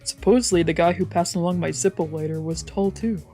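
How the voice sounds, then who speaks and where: A streamer narrates a visual novel in the first person. The reading is dramatic and brooding, at a middle pitch, with game music underneath. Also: fairly quick, mellow. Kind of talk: dramatic narration with character voices.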